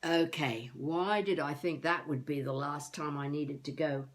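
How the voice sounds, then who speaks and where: Elderly would-be novelist woman: unhurried, halting, wry, self-mocking video-diary monologue. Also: low voice, steady tone. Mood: flustered. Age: older. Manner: musing, halting, talking to herself.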